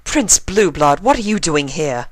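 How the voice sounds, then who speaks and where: A man's voice: fairly high pitch, very animated, a little slow, dry recording, pitched above the performer's own voice. A man voicing a refined, spirited young female unicorn with a prim, ladylike manner for a cartoon fan story. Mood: shocked.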